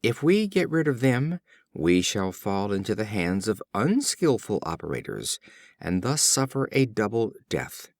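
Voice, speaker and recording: A man's voice: fairly low pitch, sing-song, no room sound, clean studio sound. A man voicing an exceedingly old ox who reasons solemnly and persuasively in a storybook fable. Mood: grave, cautioning. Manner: measured, persuasive speech-making.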